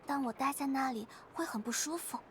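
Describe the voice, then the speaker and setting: A woman voicing an earnest, curious, bookish young adventurer in an anime-style game, with expressive, youthful delivery. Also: high-pitched, brisk, noisy background, natural voice. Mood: wistful. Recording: clear game music behind. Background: game music.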